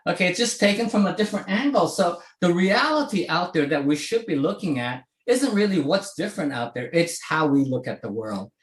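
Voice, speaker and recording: A man in his sixties speaks with a lively, rising and falling pitch, checking in with his listeners as he goes. The sound carries a noticeable room echo, as if picked up over a video call. He from Hong Kong talks in a loose conversational way. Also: brisk, few pauses. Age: 60s. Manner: conversational, frequent "okay?" check-ins. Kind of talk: presentation to a virtual audience.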